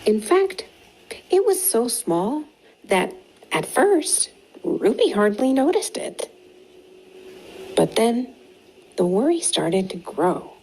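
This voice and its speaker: A woman reading a picture book aloud to young children in an expressive, deliberate way with dramatic pauses. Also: a little high, rough recording. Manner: slow, deliberate, animated storytelling with dramatic pauses. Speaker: storytime reader for young children. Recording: clearly audible background noise.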